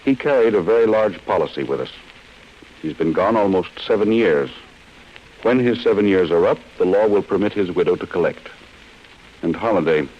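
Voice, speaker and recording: An actor with an American accent playing a cold, relentless chief insurance investigator in an old-time radio drama, speaking in a stern, deliberate way. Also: male voice, low-pitched, close to an everyday voice. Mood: grim, resolute. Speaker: actor in a radio detective serial. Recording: muffled, hissy old radio broadcast.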